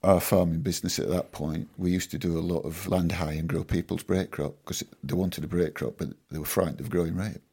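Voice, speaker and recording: Low husky older man, measured. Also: fairly even intonation, darkish tone. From Yorkshire. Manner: measured, modest storytelling with dry humour. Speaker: guest farmer. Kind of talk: reflective podcast interview. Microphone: podcast microphone.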